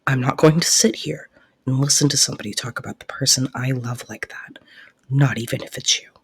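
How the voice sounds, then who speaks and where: A young woman playing a caring, protective best friend secretly in love, in soft, intimate roleplay audio, with a husky, breathy voice and a teasing, reassuring way of talking. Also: extremely low pitch, fairly quick, slight background noise. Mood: intimate, firm. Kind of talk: soft-spoken roleplay audio acting addressed to listener.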